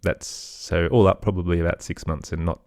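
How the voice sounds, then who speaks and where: A man's voice: low voice, steady tone, normal speed, darkish tone, no echo, clean studio sound. A man with an Australian accent, chatting casually as an interview guest about his work. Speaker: interview guest, children's podcast creator.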